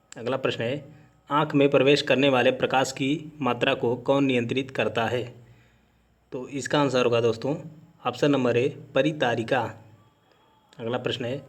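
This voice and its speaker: A man teaching an exam-prep lesson, methodically reading out questions and then giving each answer. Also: mid-range voice.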